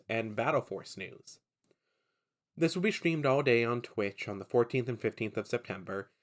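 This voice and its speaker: A man hosting a casual hobby commentary, stuffy and gravelly from a cold, opinionated and rambling with restarts. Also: mid-range voice. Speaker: channel host.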